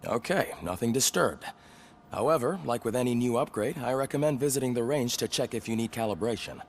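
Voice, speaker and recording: Guy voicing a chatty jokey tech guy in a game, middle pitch. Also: animated, brisk, slight background noise, close to an everyday voice. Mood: businesslike. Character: breezy male police repair technician. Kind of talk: video game cutscene acting.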